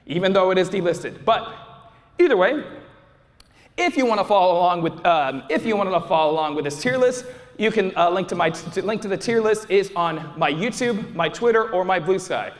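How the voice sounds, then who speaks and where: A man's voice: high voice, animated, normal speed. Young man rambling at a convention panel. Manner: rambling, frequent restarts and fillers. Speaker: YouTuber presenting a convention panel. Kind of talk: casual live panel talk. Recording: audience recording with long hall reverb.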